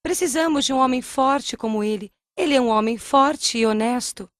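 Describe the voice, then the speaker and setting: An earnest young daughter in a cartoon tale acted by a woman, with a high voice and a dry sound. She speaks persuasively and respectfully. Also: normal speed, no echo, close to an everyday voice. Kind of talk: acted cartoon dialogue in a religious story.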